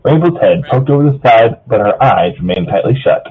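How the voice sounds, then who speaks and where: A man's voice: medium pitch, expressive, brisk, slightly rough. A man narrating a fan fiction story aloud, casually breaking off to banter with his co-reader. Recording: thin phone-like voice chat sound.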